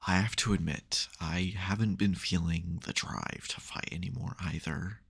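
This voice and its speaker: A man playing an exasperated but secretly caring supervillain in an intimate ASMR audio roleplay, wry and gravelly. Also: low voice, normal speed, a bit bright, heavily acted. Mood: reflective, tender.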